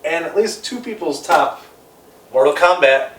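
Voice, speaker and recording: A man with a lively, fairly high voice speaks emphatically and swears freely in a casual debate. He is a podcast co-host, picked up from a little distance in an echoey room. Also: unhurried. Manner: emphatic, profane.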